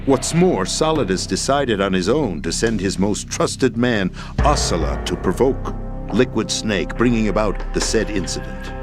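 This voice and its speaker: An actor playing a weary, formal deposed president and schemer in a video game, explaining things in a measured, deliberate way. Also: male voice, fairly low pitch, expressive, over music. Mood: grave, measured. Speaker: video game character in a cutscene. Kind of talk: dramatic expository video game acting.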